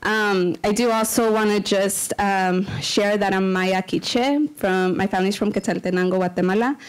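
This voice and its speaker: Woman academic from LA, middle pitch, relaxed conversational talk, podium mic in a lecture room. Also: moderate pace, smooth, rough recording. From Los Angeles. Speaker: sociology professor speaker. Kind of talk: conference talk. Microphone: podium microphone.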